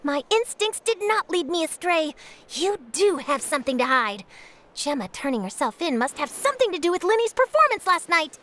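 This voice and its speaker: A woman's voice: very high-pitched, big pitch swings, normal speed, a bit bright, slight background noise, heavily acted. A woman playing an eager, perky young newspaper reporter in a video game, theatrical and inquisitive. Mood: inquisitive, triumphant.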